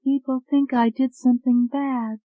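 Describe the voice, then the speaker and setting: A woman's voice: sits high, level tone, moderate pace, dry recording, phone-quality sound, voice pushed up, natural voice. A narrator voicing a hypnotized young woman who answers in a hollow, distant way in an audiobook. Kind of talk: audiobook character voice.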